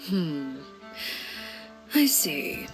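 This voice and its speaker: A cool, calculating matriarch from a video game, with a fairly low voice. Her speech is measured and formal, with quiet menace. Faint music sits behind her. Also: very animated, exaggerated voice. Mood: cool, guarded. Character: composed, menacing, calculating woman who heads an orphanage. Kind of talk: video game character acting.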